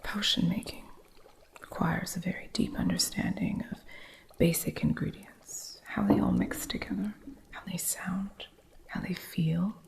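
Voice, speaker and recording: A woman voicing an eccentric, possessive witch who runs a curio shop, speaking in soft, unhurried ASMR whispers close to the microphone with a stern, territorial edge. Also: lowish voice, gravelly, full character voice. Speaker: ASMR roleplay artist.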